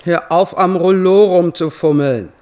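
A woman's voice: on the low side, a little slow, darkish tone, lightly acted. An actress playing an old, imperious lady of the house in a radio play, curt, commanding and easily irritated. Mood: snappish, commanding.

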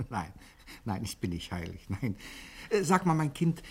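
An affable rogue of a sailor in an old radio play. The voice is very husky, with lively swings in pitch. He coaxes in simple words, often hesitating as he picks them. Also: takes it slowly, heavily acted. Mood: coaxing, secretive. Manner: coaxing, hesitant, simplifying his words for a foreigner. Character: roguish, affable adult male adventurer posing as sailor. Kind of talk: 1960 German radio play acting.

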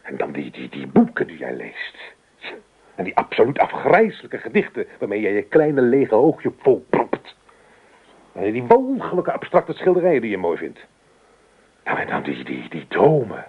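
An actor in a Dutch radio play voicing a stuffy, jealous, suspicious husband who scolds and stammers when worked up. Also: male voice, lowish voice, huge pitch swings, normal speed, husky, full character voice. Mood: accusing. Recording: dull, lo-fi radio play recording.

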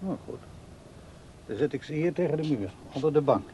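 Male actor as gently teasing man talking to a small child, radio drama, very animated pitch, some background hiss. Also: a little fast, lightly acted. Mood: coaxing. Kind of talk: radio play acting.

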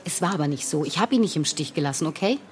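An actress playing a grieving, insistent young woman in a naturalistic radio drama, speaking earnestly and haltingly. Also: deep voice, lively intonation, a little mellow, a little hiss or hum. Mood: hurt, pleading. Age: young adult.

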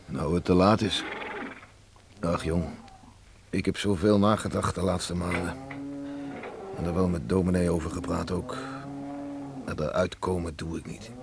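A man acting a hearty, plain-spoken older farmer father with a halting way of talking in a radio play. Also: sits low, steady tone, gravelly. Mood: troubled, confiding. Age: middle-aged or older. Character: hearty farmer father, troubled by regret, welcoming. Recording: noisy old radio play recording.

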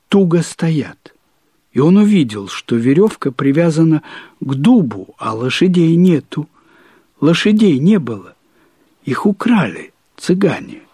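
A man reads literary prose as a storyteller, in a measured, deliberate way with pauses and emphatic repetition. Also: medium pitch, darkish tone, husky, no room sound, studio quality. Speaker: narrator. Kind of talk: expressive literary narration of a short story.

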